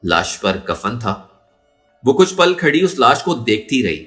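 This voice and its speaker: A man's voice: medium pitch, big pitch swings, a little slow, bright, forceful tone, soft background music. A man narrating a story in a dramatic, emotive and suspenseful way. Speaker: audiobook narrator.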